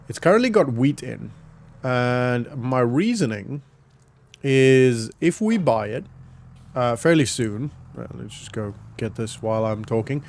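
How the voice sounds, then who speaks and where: A man with a croaky voice giving relaxed, rambling commentary on a farming game, with many fillers. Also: medium pitch, a little hiss or hum. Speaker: gaming YouTuber. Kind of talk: casual gameplay commentary.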